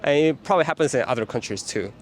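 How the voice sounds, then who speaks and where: A man's voice: medium pitch, lively intonation, rapid-fire. Chatty male street interviewer. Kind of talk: casual street interview questions. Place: city street outdoors.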